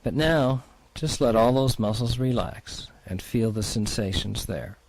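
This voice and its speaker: A man leading a guided relaxation exercise as a therapist, in a soothing, unhurried, gently instructing manner. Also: mid-range voice, low-quality recording.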